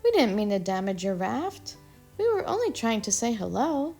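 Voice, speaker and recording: A woman voicing a group of playful chestnut boys who shout loudly. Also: fairly high pitch, very animated, normal speed, soft background music. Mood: boisterous. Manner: loud, shouting.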